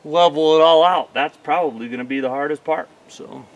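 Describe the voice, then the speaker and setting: A man's voice: on the high side, brisk, lo-fi sound, slight background noise. A man casually explaining a DIY job as a vlogger, matter-of-fact and thinking aloud. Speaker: homesteader vlogger. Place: outdoors at a homestead sawmill site.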